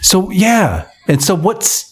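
A man's voice: high voice, takes it slowly, slightly rough. A man hosting a casual group chat, joking and steering the talk. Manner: lively, joking, leads the talk. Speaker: podcast host.

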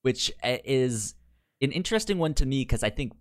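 A man co-hosting a casual film discussion podcast, speaking with a pronounced stutter that repeats sounds and syllables in a halting delivery. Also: mid-range voice, very animated. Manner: halting, hesitant delivery with frequent restarts. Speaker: podcast co-host.

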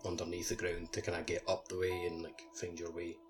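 A man's voice: low-pitched, completely flat delivery, fairly quick. A man co-hosting a podcast, chatting casually about a game in loose, hedging phrases. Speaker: podcast co-host. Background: background music.

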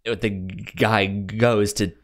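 A man co-hosting a film podcast in casual conversation, halting and often stammering over repeated words. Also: on the low side, little pitch movement. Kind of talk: casual movie discussion.

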